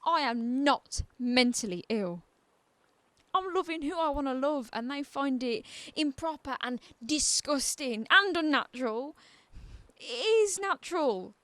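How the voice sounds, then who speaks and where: High female voice, dry close sound, defiant bitter asylum inmate played by an actress, probing and wry, radio drama. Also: lively intonation, a little slow, a bit bright, no echo. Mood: indignant, impassioned. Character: defiant, bitter young woman confined in a mental asylum.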